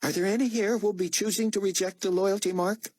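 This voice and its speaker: A man voicing a menacing male official who loudly announces orders to prisoners. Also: fairly even intonation, fast, no room sound. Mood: mocking. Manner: loud commanding announcement, raised high voice.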